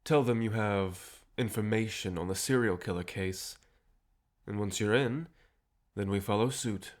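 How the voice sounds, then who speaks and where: An actor playing a cheerful, self-assured, century-old vampire rebel leader in an audio drama, courteous and persuasive with a theatrical manner. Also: male voice, fairly low pitch, unhurried, natural voice. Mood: determined, persuasive. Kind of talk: scripted audio drama acting.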